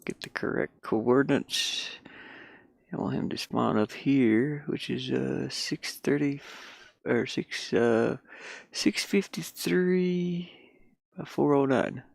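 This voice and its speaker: A man thinking aloud in a casual, halting mutter as he works on a game at his computer, talking mostly to himself. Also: mid-range voice, creaky, no echo. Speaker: hobby game developer. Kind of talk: casual think-aloud screen-recording commentary.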